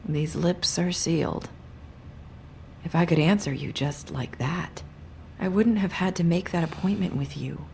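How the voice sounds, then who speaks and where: A woman's voice: low voice, measured pace, creaky, dark tone, slight background noise. An actress playing a guarded, sorrowful young woman in a video game, speaking hesitantly and evasively. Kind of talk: video game dialogue acting.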